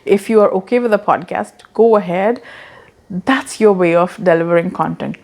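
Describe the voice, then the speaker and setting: A woman's voice: fairly low pitch, normal speed, vocal fry, darkish tone. A woman in her thirties with an accent mixing Indian and American English, giving earnest, encouraging advice to the camera and often repeating words as she talks. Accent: Indian-American blend. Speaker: online business coach. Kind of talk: casual talking-head advice.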